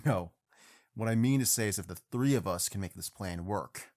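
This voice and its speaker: A man's voice: on the low side, normal speed, darkish tone, lightly acted. A man playing an earnest, kindly prince and masked hero in an audio drama.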